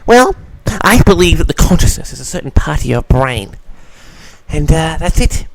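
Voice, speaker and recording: Animated, higher-voiced man hosting a Q&A. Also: normal speed, gravelly, a little hiss or hum, poor audio. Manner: theatrical, mock-grand, addressing listeners as "audience". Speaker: host of an audio log answering audience questions. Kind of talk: casual audience Q&A, reading questions aloud and answering.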